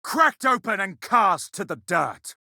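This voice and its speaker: An actor playing a fierce, scornful space marine who declaims sharply in a video game. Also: male voice, high-pitched, very animated, takes it slowly, creaky, sharp tone, no echo, clean studio sound, exaggerated voice. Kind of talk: video game combat barks.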